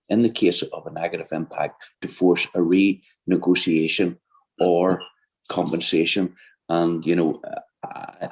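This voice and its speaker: A man with a Northern Irish accent, a committee member putting questions to a panel. He speaks deliberately, pausing often with "uh". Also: low voice, a little mellow, breathy edge. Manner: deliberate, with frequent "uh" pauses. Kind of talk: formal questioning at a hearing.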